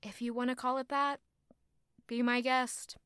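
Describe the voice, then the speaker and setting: A woman's voice: on the high side, little pitch movement, measured pace, fairly bright tone, clear, resonant tone, dry recording, clean studio sound. A woman playing a stubborn, guarded young noblewoman in an audio drama, speaking in a curt, sulky way. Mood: stubborn, sarcastic.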